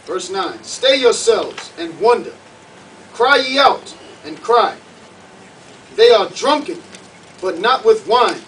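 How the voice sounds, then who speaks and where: A man's voice: sits high, expressive, slow, pauses often, fairly bright tone. A man reading Bible verses aloud as the assistant reader in a sermon. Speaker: scripture reader assisting the preacher. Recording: noisy room, far from the mic.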